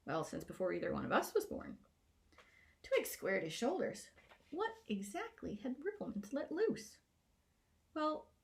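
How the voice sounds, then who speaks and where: A woman narrating a scripted children's fantasy story in a playful, expressive way, as an author reading aloud. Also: a little high. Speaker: author reading own book.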